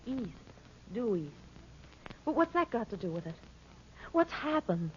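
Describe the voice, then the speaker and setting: An actress playing an anxious, loyal rancher's wife in a 1950s radio western, earnest and insistent, with an American accent. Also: female voice, fairly high pitch, very animated, unhurried. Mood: uneasy. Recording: muffled, noisy 1950s radio recording.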